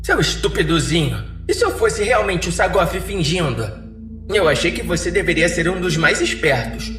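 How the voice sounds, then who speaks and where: A man's voice: high-pitched, animated, moderate pace, fairly bright tone, voice pushed up. A man voicing a bossy, condescending young mystical girl in a horror audiodrama, with a Brazilian accent. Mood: scolding. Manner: scolding, condescending. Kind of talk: Portuguese audiodrama acting. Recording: echoey, distant, music underneath.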